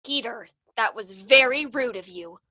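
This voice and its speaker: A woman's voice: on the high side, measured pace, bright, forceful tone. A woman voicing a bossy girl who scolds her friend. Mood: scolding. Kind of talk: amateur radio play acting.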